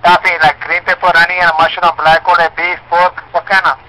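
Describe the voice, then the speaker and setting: A man's voice: high voice, level tone, a little fast, bright, forceful tone. A man with a non-native English accent, working as a pizza driver, politely repeating himself on a customer phone call. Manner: polite, repetitive. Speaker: pizza delivery driver taking calls.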